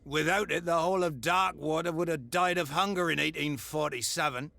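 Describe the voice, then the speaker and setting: An earnest sailor from a video game, speaking fairly high for a man. His tone is bright and forceful with a creaky grain, and his manner is plain. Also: brisk, no echo. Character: plainspoken, earnest male harbor sailor and singer. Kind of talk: video game cutscene dialogue.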